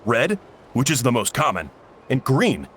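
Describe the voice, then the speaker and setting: A jovial uncle cook from a video game, chatty and a little dramatic. His voice rings bright and swings lively up and down, over faint game noise. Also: mid-range voice, unhurried. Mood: hearty. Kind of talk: game voice acting.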